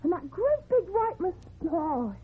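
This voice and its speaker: A very high, unhurried little girl's voice, innocent and matter-of-fact. She moves freely up and down in pitch, as in a radio horror drama. The recording is muffled and narrow, like old radio. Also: big pitch swings, slight background noise, exaggerated voice. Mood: matter-of-fact, wondering.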